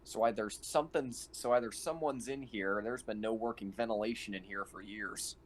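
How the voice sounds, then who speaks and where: A man's voice: lowish voice, normal speed, a little hiss or hum. A man chatting casually as a roleplaying game player, dryly joking as he describes his character's actions.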